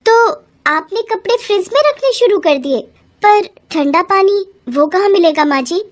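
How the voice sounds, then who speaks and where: A woman's voice: extremely high pitch, animated, moderate pace, pitched above the performer's own voice. A woman voicing a polite young bride in a cartoon story, who asks a lot of surprised questions. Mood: puzzled. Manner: bright, questioning.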